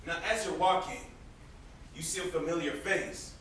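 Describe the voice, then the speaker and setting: A man's voice: fairly high pitch, moderate pace, a bit bright, close to an everyday voice. A man acting a bitter, sarcastic young brother in an amateur stage play. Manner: sarcastic, confrontational. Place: indoor theatre stage. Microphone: distant camera microphone. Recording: distant echoey stage recording, faint noise.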